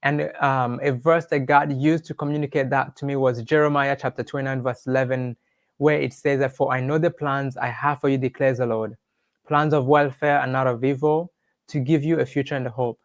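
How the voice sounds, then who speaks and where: A man's voice: medium pitch, steady tone, brisk, dry recording. A man with a Rwandan accent shares his personal testimony as an interview guest, speaking in an earnest, devout storytelling way.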